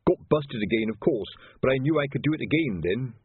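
Man voicing a weary, gruff watch sergeant. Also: medium pitch, lively intonation, brisk, no room sound, phone-quality sound, pitched above the performer's own voice. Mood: reminiscing. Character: weary, middle-aged male watch sergeant.

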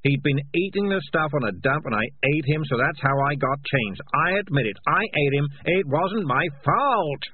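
A man's voice: a little high, expressive, moderate pace, hardly any pauses, narrow, tinny audio, a little hiss or hum, pitched above the performer's own voice, natural voice. A man voicing a talking tomcat in a storybook, gloomy, defensive and guilt-ridden, blustering and evasive. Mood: defensive, agitated.

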